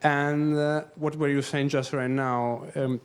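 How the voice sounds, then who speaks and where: Italian man with halting English. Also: fairly high pitch, little pitch movement, clear, resonant tone. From Italy. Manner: halting, searching for words, then quick bursts. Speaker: audience member, documentary photographer. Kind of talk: question-and-answer at a talk.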